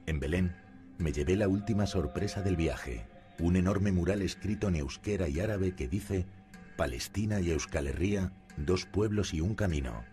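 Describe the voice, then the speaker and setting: A man narrating a documentary in the first person, in a calm and measured way. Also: low-pitched. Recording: music playing behind.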